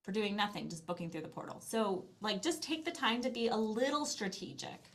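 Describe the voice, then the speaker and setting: A woman's voice: medium pitch, sing-song, fairly quick. A middle-aged woman talking casually as an interview guest, telling stories in a chatty, self-deprecating way. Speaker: podcast guest, language-learning business owner. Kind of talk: casual interview conversation, anecdotal.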